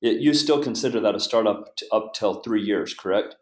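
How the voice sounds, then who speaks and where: A man hosting a webinar, asking questions in a hesitant way with frequent pauses and restarts. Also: on the low side, roomy sound. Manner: hesitant, many fillers and restarts. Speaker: webinar host. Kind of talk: webinar moderation.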